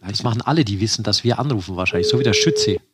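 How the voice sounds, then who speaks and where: A man's voice: lowish voice, lively intonation, rapid-fire, very noisy. A man co-hosting a podcast, talking about sports in a casual, conversational way. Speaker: podcast co-host.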